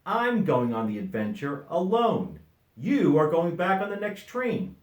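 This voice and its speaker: A man's voice: fairly high pitch, huge pitch swings, unhurried, echoey room, pitched above the performer's own voice, rough recording. A man voicing an easily exasperated traveler in a children's storybook, speaking emphatically and expressively. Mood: angry, exasperated. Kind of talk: children's storybook read-aloud.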